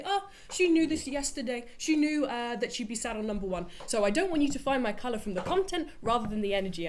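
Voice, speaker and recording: A woman's voice: on the high side, a little hiss or hum. A woman giving an upbeat, emphatic timed speech as a player in a tabletop game. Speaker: tournament player.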